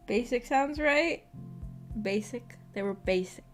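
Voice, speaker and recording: A young woman with a fairly high voice talks casually to a live chat. She rambles and hesitates as she works out her thoughts, in a self-effacing, giggly manner. Soft background music plays underneath. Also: lively intonation, slow pace. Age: young adult. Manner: hesitant, rambling, thinking aloud. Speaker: streamer reading and answering live chat.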